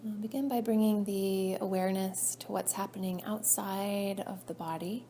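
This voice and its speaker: Woman doing guided meditation, mellow n soothing, pretty flat pitch, phrases trail off soft, bit of background noise. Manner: soothing, measured, trailing off softly at phrase ends. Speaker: yoga nidra teacher. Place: indoor class room. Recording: faint background noise, live class recording.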